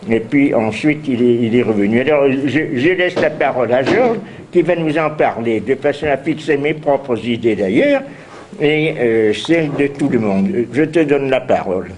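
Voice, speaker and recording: An older man with a bad head cold introduces a philosophy seminar, speaking haltingly with many fillers and restarts. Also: mid-range voice, animated, a little mellow, a little hiss or hum. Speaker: seminar host. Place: university seminar room.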